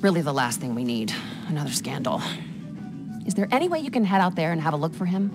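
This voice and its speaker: Polished, animated businesswoman. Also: deep voice. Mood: exasperated. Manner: formal, measured. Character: polished, exasperated businesswoman casino manager. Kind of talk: video game cutscene acting. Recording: music behind the dialogue.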